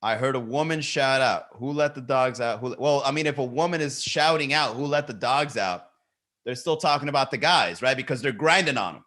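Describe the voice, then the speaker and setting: Male comedy podcast host, bright forceful voice, animated riffing, some swearing. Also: mid-range voice, brisk. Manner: animated, swearing, rhetorical questions. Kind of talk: comedic riffing and lyric reading.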